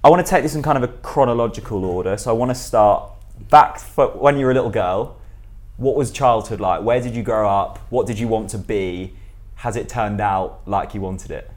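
A man's voice: on the low side, brisk, darkish tone. A man hosting a podcast, interviewing his guest in an upbeat, chatty way and stacking several questions at once. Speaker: podcast host.

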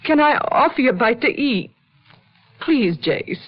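A subdued, plain-spoken American widow, grieving yet hospitable, with a folksy, halting delivery. It has the muffled sound of an old 1950s western radio drama. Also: female voice, high voice, expressive, faint music behind. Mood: subdued, welcoming. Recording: dull old radio recording.

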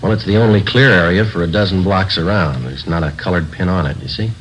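A man playing a terse, stern police detective in a 1940s radio crime drama, deadpan and matter-of-fact. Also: deep voice, fast, slight background noise, close to an everyday voice. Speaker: lead actor and narrator. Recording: muffled old 1940s radio recording.

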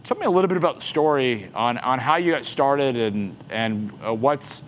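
A man's voice: medium pitch, expressive, moderate pace, muffled recording, slight background noise. A man hosting a conversational interview in an informal, familiar way. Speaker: show host and interviewer.

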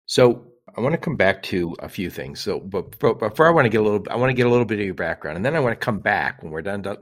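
A man hosting a podcast, asking rambling conversational questions with false starts. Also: sits low. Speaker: podcast host. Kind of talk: podcast interview.

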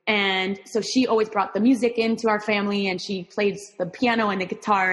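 A woman's voice: mid-range voice, long reverb tail. A woman who grew up in Miami with Spanish as her first language, a guest talking casually and thoughtfully about herself, with frequent restarts. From Miami, Florida, US. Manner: thoughtful, restarts often, warm laughs. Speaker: guest, performing artist. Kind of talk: casual interview talk.

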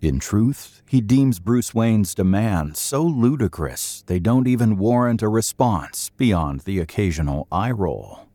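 A man narrates a scripted audio drama in a low, mellow voice. The delivery is polished and suspenseful, captured very dry. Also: animated, a little slow, hardly any pauses, no echo.

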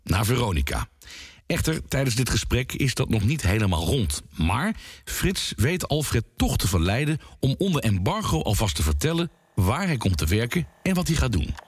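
Male podcast narrator, raspy and animated, delivering scripted links. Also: medium pitch, normal speed. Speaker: podcast host.

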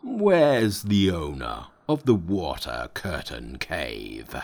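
A man voicing a huge arrogant male demon warrior in a storybook reading, slow and mocking. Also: sits low, big pitch swings, creaky, slightly rough, voice pushed down, heavily acted. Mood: scornful.

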